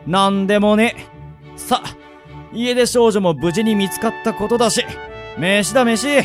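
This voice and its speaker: A man playing an easygoing middle-aged swordsman mentor in a radio drama, moving between polite formality and gruff casual talk. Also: sits high, a little slow, music in the background. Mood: gruff, teasing. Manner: switches between smooth polite formality and rough casual drawl. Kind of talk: anime-style radio drama acting.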